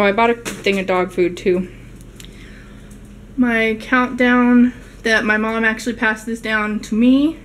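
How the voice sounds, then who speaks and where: A woman's voice: a little high, slight background noise. A young woman chatting casually at home as she shows off her grocery haul to a handheld camera. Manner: chatty, rambling show-and-tell. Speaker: vlogger. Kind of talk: casual haul video narration. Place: home living room.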